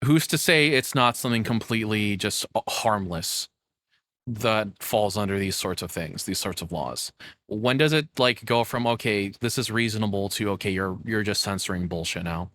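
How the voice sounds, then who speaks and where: A man's voice: lowish voice, normal speed, fairly bright tone, no room sound. A man giving casual, rambling reaction commentary in a sarcastic, cynical manner with frequent swearing. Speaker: streamer reacting to a video.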